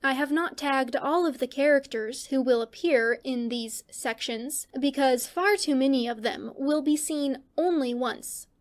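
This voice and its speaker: A woman reading fan fiction aloud as a podfic narrator, measured and deliberate with dramatic pauses. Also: high-pitched.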